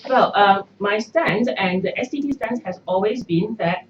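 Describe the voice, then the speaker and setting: A middle-aged woman, a native Cantonese speaker, answering press questions as a party candidate in a measured way with hesitations. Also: mid-range voice. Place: party office press room. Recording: echoey room, far mic, dull noisy.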